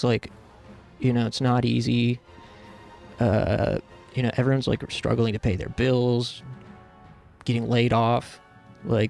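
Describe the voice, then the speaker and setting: A man's voice: mid-range voice, vocal fry, darkish tone. A man in his thirties from the United States, chatting casually and earnestly with stream viewers, rambling with lots of fillers. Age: 30s.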